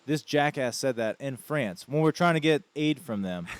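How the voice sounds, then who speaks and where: A man co-hosting a casual podcast, his voice smooth and resonant. He rattles off history anecdotes in a loose, slangy way, dropping "dude" into his lines. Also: mid-range voice, moderate pace. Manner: animated storytelling, slangy, says "dude". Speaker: podcast co-host.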